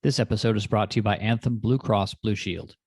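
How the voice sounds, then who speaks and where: A man hosting a podcast, reading a measured intro and then chatting with a guest in a slow, measured way. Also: on the low side, a little mellow, husky, dry recording, studio quality. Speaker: podcast host. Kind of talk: scripted intro, then casual interview.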